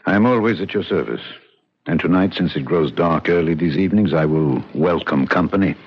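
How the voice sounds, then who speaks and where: An actor playing a haunted, dutiful police official in an old-time radio mystery, grave and probing in manner. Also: male voice, sits low, lively intonation, moderate pace, husky, exaggerated voice. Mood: brooding. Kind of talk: old-time radio mystery acting, dramatic first-person narration. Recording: muffled vintage radio broadcast.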